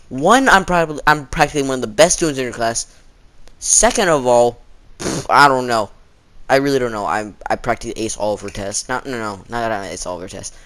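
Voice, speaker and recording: A teenage boy with a middle-pitched voice rambles through a casual storytime. His delivery is halting and informal, full of slang, and he dramatizes what people said. His throat is sore and needs clearing. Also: fairly bright tone. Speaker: video storyteller.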